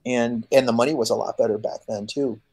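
A man's voice: medium pitch, a little fast, slightly rough. A man in his fifties from Chicago, telling stories as an interview guest over a video call, acting out quoted voices with lots of fillers. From Chicago, United States. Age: 50s. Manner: animated storyteller who acts out quoted voices, many fillers. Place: home room.

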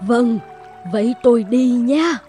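A woman's voice: a little high, slow, warm tone, husky. A woman voicing a kindly old village woman in a fairy-tale cartoon. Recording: faint music behind the voice.

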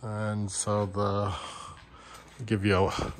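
A man's voice: on the low side, completely flat delivery, very slow, a little hiss or hum. A man giving a plain, matter-of-fact walkthrough of his building site, filming on a handheld phone outdoors. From Vermont. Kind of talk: casual walkthrough narration. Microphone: handheld phone camera.

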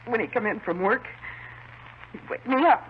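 An actress playing a distraught, unstable housewife, fretful and fixated, in a 1950s radio drama. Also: female voice, a little high, expressive, normal speed, natural voice. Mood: agitated, bitter. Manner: fretful, fixated, repeats herself. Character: distraught, mentally unstable housewife confessing to killing her husband. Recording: muffled, noisy 1950s radio recording.

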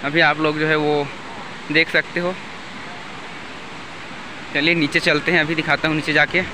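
A young man from India speaks casually as a travel vlogger. His voice sits a little high for a man. He records outdoors on a phone, with noticeable rushing noise around him. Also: level tone. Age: young adult. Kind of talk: casual travel vlog narration. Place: outdoors at a forested waterfall. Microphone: phone at arm's length. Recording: outdoor wind and waterfall noise.

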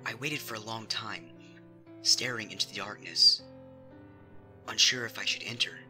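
A man's voice: lowish voice, moderate pace, sharp tone. A man reading a scripted horror story in a suspenseful, theatrical way. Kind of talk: dramatic scripted horror narration. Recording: music under the reading. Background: background music.

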